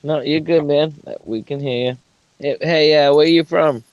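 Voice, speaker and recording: A man's voice: a little high, fairly even intonation, normal speed, dry recording, lo-fi sound. A man with a Boston accent chatting casually in an online hangout, rambling and laughing at himself. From New England. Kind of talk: casual group chat.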